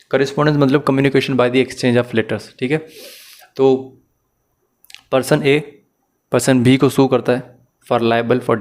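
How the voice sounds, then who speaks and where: A man giving a methodical, explanatory lesson as a law lecturer, mixing in English phrases. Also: medium pitch.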